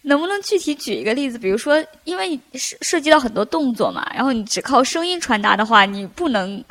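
A woman's voice: sits high, big pitch swings, quick pace, a bit bright. A woman co-hosting a podcast, casually chatting and asking questions. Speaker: podcast co-host.

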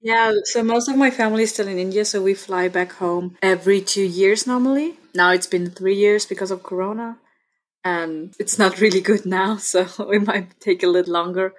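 A young woman from Austria speaking English with an Austrian accent, her first language being German, talking through her thoughts in a relaxed interview and laughing often. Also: medium pitch, normal speed. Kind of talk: casual conversation.

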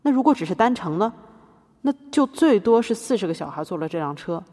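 Woman as husky, defiant artist on trial. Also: mid-range voice, big pitch swings, fairly quick, dark tone. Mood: earnest, regretful. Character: defiant, guilt-ridden activist artist defending herself in court. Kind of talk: scripted podcast courtroom drama monologue. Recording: hall-like long reverb.

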